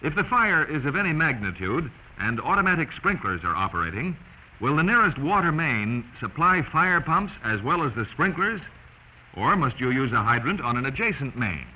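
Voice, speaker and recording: An American man narrates a 1940s instructional film from a script. His delivery is deliberate, with lively pitch movement. The old soundtrack is dull and slightly noisy.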